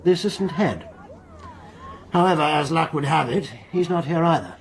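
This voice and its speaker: A man's voice: a little high, normal speed, a faint crowd, a little hiss or hum, lightly acted. A man playing a formal polite headmaster. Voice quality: polite, formal and a touch evasive. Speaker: school headmaster. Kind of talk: radio drama acting.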